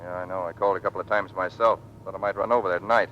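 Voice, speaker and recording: An actor playing an earnest, loyal young American navy pilot in an old-time radio drama. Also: male voice, steady tone, very fast, fairly bright tone, lo-fi sound. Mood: quiet, determined. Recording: noisy vintage radio recording with engine rumble.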